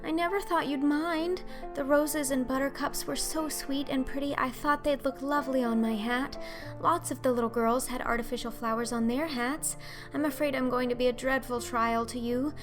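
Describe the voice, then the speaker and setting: An earnest orphan girl of eleven voiced by a woman in an audiobook, pitched high. She is imaginative and wordy, breathless and melodramatic, with music clearly behind her. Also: fairly even intonation, moderate pace, close to an everyday voice. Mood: tearful pleading. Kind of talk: audiobook character acting.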